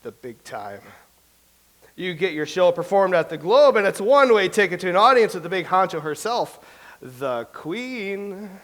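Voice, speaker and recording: An actor playing a nervous, self-doubting Elizabethan playwright who stammers and speaks theatrically in a staged reading. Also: male voice, high-pitched, very animated, normal speed, fairly bright tone. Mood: pompous. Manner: theatrical, fretful, stammering soliloquy. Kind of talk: staged reading of a comedy play.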